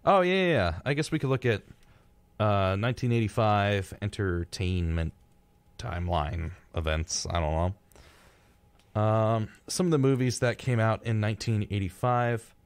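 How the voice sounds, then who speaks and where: A man's voice: fairly low pitch, moderate pace, smooth, no echo. A young man hosting a radio show, chatting casually and reading out facts in an informal, wry way. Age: young adult.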